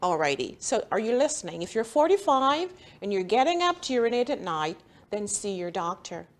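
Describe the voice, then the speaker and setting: Lively middle-aged woman TV host. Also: medium pitch, a little fast. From Jamaica. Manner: upbeat, laughs easily, prompting questions. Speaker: show host, internist. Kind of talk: TV health interview. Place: bright TV studio set.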